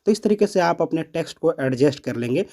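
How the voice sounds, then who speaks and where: A man's voice: a little high, animated, fast, mellow. A man presenting a step-by-step app tutorial, explaining and repeating each step.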